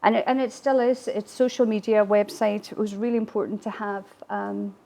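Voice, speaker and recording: A woman who grew up speaking Irish Gaelic talks in an interview about her work. Her voice has a gravelly creak and sits at a middling pitch. She is reflective, often pausing partway through a phrase to find her words. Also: flat delivery, dark tone. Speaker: whisky distillery visitor attraction manager. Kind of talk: interview, recounting a business launch.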